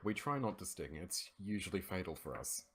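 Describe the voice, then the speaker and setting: A man's voice: deep voice, sing-song, fairly quick, husky. An Australian man doing an informal read-aloud of a script as an amateur narrator, voicing the dialogue himself.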